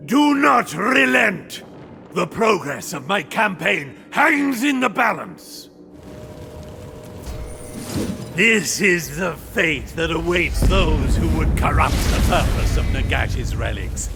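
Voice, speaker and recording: Pompous undead knight villain, gravelly and theatrical. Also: male voice, fairly high pitch, big pitch swings, takes it slowly, over music, full character voice. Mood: commanding, rallying. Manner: theatrical, pompous, archaic courtly speech. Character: undead knight of death, arrogant, grandiose, gallant villain. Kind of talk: video game cutscene acting.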